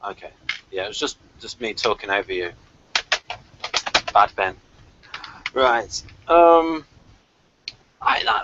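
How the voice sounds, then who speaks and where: A man's voice: a little high, background noise. A man hosting a review show, chatting casually with his co-reviewer. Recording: muffled lo-fi call audio.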